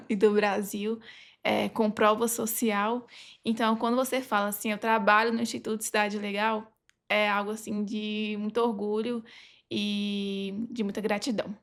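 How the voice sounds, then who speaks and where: A woman's voice: medium pitch, flat delivery, normal speed. A woman with a Brazilian accent speaking as a podcast guest, sharing experiences from her job in a conversational, anecdotal style. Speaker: podcast guest, legal advisor.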